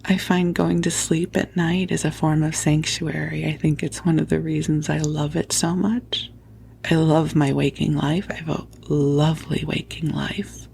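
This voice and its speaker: A woman whispering softly and tenderly into a close microphone as she hosts a spiritual sleep podcast, soothing and affectionate. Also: sits low, little pitch movement, measured pace, a little hiss or hum. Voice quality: hushed, breathy whispering. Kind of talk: whispered guided sleep talk, spiritual meditation. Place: at home under a blanket.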